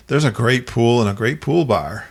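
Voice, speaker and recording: Casting: male podcast co-host, mid-pitched voice, easygoing and upbeat, quick to laugh. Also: vocal fry, breathy edge. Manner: enthusiastic, laughs often. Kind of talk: casual chat.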